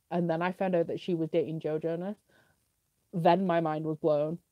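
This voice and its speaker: A young British woman answers viewer questions in a relaxed, chatty ramble full of "like" fillers. Her voice sits a little low and sounds mellow and soft, with a touch of sniffliness from a cold. She is recorded close up in a dry, dead room. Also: dry recording. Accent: British English. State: sniffly with a cough. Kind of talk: casual live Q&A chat.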